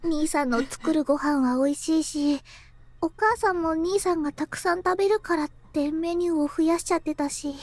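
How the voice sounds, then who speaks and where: An anxious teenage girl from a visual novel. Her voice is extremely high and recorded very dry and close. She stammers and hesitates, as if talking to herself. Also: very fast, a little mellow, dry recording. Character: anxious, self-conscious teenage girl worried about her weight. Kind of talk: Japanese visual novel voice acting.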